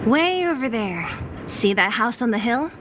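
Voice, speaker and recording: A woman's voice: fairly high pitch, big pitch swings, unhurried, background noise. An actress playing a brash, streetwise young woman fighter in a video game, teasing and blunt. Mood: reflective, brisk. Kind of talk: video game cutscene voice acting.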